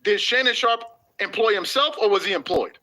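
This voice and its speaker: A man's voice: high voice, animated, brisk, bright, forceful tone. A man reading a news article and statement aloud, breaking off for sarcastic rhetorical questions.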